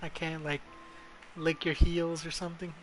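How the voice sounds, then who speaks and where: A man's voice: fairly high pitch, little pitch movement, normal speed, a little hiss or hum. A man giving casual, sarcastic and profane commentary as he streams a video game.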